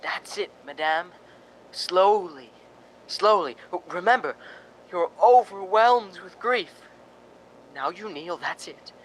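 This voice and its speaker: A man's voice: sits high, animated, takes it slowly, pauses often, slight background noise, low-quality recording. An actor playing a fussy film director in a radio drama, coaxing his leading lady with theatrical short commands. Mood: commanding, coaxing.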